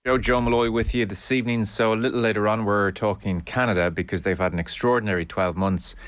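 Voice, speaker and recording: A man presenting a radio show, introducing the next segment in a measured, formal way. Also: lowish voice, fairly even intonation, fairly bright tone, no room sound.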